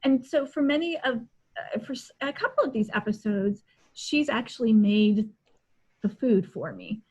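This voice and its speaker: A woman with a fairly high voice chats casually and pokes fun at herself. She is on a video call from home, and the sound is a little dull and lo-fi. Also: lively intonation, moderate pace, darkish tone, breathy edge. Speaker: co-host. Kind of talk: casual chat. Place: home room, indoors.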